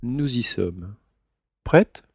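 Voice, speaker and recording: Actor as a very husky, worried father. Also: medium pitch, animated, drawn-out words, no echo, studio quality, exaggerated voice. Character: concerned father, dignified government minister. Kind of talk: audio drama acting.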